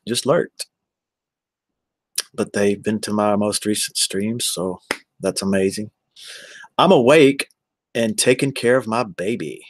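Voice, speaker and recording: A man's voice: fairly low pitch, slow pace, long pauses, no room sound. An American man in his forties chatting casually on a livestream, rambling and addressing viewers one by one. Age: 40s. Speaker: live streamer.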